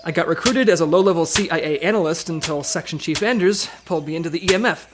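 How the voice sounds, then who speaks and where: A man's voice: fairly high pitch, fast, background noise, lightly acted. A man playing an earnest, dutiful government agent in an audio comedy drama, speaking sincerely. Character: earnest younger male government agent, dutiful team leader.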